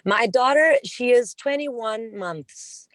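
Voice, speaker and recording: A woman's voice: a little high, expressive, slow pace, fairly bright tone, a little hiss or hum. A woman from Portugal with a Portuguese accent, a parent asking a question on a video call and searching for her words.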